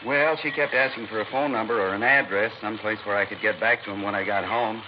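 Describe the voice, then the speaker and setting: An actor playing a chatty, obliging American pool builder in a 1950s radio drama, with an informal, folksy manner. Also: male voice, on the low side, fairly even intonation, quick pace, natural voice. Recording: dull old radio, loud engine noise.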